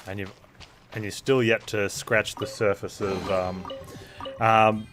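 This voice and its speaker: A man's voice: fairly low pitch, flat delivery, takes it slowly. A man giving casual live gameplay commentary, rambling as he thinks aloud. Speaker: game streamer. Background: game music.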